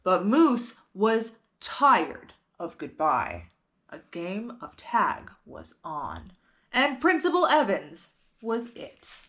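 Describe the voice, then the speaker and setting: A woman's voice: mid-range voice, sing-song, slow, fairly bright tone. A woman hosting storytime, reading a picture book aloud expressively and putting simple questions to young listeners.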